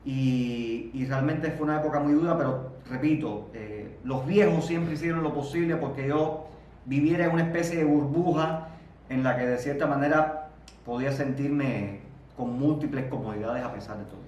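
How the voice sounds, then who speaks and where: A Cuban man in his thirties, guest in an interview, talking slowly and reflectively with frequent repeated words and pauses. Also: medium pitch, darkish tone. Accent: Cuban Spanish. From Cuba. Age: 30s. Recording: echoey room, distant mic, faint noise.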